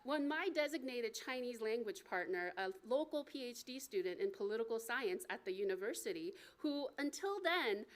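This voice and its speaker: Higher-voiced American woman reading her memoir. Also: animated, normal speed, hardly any pauses. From United States. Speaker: author reading from own book. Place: live book reading at a library event.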